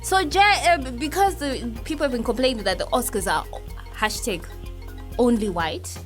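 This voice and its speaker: A woman's voice: fairly high pitch, animated, normal speed. A woman hosting a casual entertainment discussion in a conversational, opinionated way. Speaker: talk show host. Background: music playing behind the voice.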